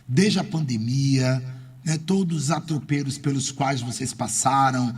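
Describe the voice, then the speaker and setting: A man's voice: mid-range voice, darkish tone, slightly rough, far from the mic. A man with a Brazilian accent interviewing a guest on the radio, effusive and formal, asking long winding questions. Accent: Brazilian Portuguese.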